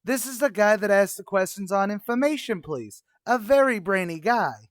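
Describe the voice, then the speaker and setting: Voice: medium pitch, lively intonation, normal speed, dry recording, studio quality, natural voice. An actor playing a pompous, wisecracking tavern manager with a brash, mock-erudite manner in a radio comedy. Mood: breezy. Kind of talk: old-time radio comedy acting.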